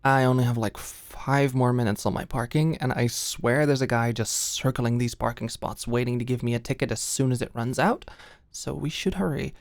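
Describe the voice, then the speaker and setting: A woman's voice: extremely low pitch, normal speed, a little mellow, no room sound. Woman acting a flustered, lovestruck office worker, dry close sound. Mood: flustered, eager. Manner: hesitant, rambling, stammering. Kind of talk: scripted ASMR audio roleplay monologue.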